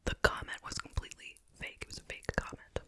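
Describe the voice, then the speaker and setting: A person whispering in a breathy, unvoiced voice, chatting casually and without hurry as an ASMR host during a meal. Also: bright, forceful tone. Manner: casual, unhurried rambling while eating. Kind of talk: whispered ASMR chit-chat mukbang.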